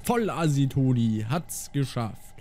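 A man's voice: mid-range voice, sing-song, takes it slowly, mellow, a little hiss or hum. A young man giving casual, goofy commentary over a game and singing silly rhymes. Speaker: let's play host.

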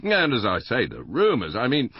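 A man voicing a gossipy middle-aged British husband in an audiobook, stammering, rambling and excitable. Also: medium pitch, normal speed, breathy edge, voice pushed up. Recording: slightly dull, lo-fi dry recording.

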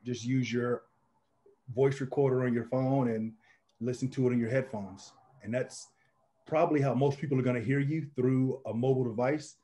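Mellow-voiced man with an Indiana accent. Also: medium pitch. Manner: relaxed, measured advice-giving. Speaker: meetup organizer. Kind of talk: casual video call chat. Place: small home office. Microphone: video call.

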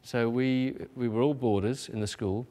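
A man's voice: medium pitch, fairly even intonation, moderate pace, dark tone. A man thoughtfully recalling Christmases as a boarding chorister. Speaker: interviewee.

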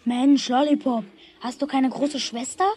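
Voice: sits high, steady tone, unhurried, dark tone, slightly rough. A performer playing a streetwise young schoolboy, a chummy classmate in a children's radio play. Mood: curious, chummy.

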